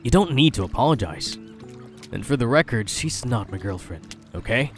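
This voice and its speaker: A man acts as a wealthy young heir to a ranch in a radio drama. He is terse and sure of himself, slipping between English and Tagalog, with lively pitch movement and music underneath. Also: normal speed, lightly acted. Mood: calm, firm. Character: rich, confident young ranch owner's son.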